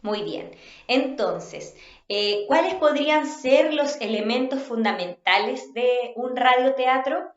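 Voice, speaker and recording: A woman with a Chilean accent giving an instructional talk as a workshop facilitator, in a measured, inviting way. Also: high voice, lively intonation. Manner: measured, inviting, checks understanding. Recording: roomy, slightly dull home recording.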